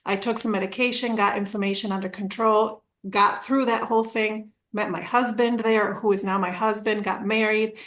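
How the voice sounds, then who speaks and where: A woman's voice: medium pitch, steady tone, normal speed, hardly any pauses, a bit bright. A woman in her forties from Argentina, a podcast guest telling her story in a rambling, casual way. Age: 40s. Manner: rambling, restarts, acts out quoted dialogue with laughs.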